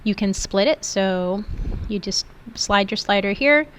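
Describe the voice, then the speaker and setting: A woman's voice: mid-range voice, expressive, unhurried. A woman instructor giving an informal, step-by-step screen tutorial in an explanatory way. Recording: clearly noisy background.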